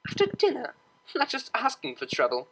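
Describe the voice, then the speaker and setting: A woman's voice: high-pitched, wildly swooping pitch, moderate pace, a bit bright, slightly rough, pitched above the performer's own voice, heavily acted, low-quality recording. A woman voicing a refined, polite young mare cellist in a pony story, awkward and earnest, with prim formal wording. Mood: prim, reluctant.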